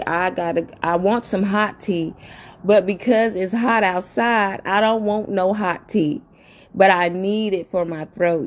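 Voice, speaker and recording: A woman vlogging casually at home and talking straight to her viewers, chatting in an upstairs room with a fan running. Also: on the low side. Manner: chatty, addresses viewers directly and often. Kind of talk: casual talk to camera.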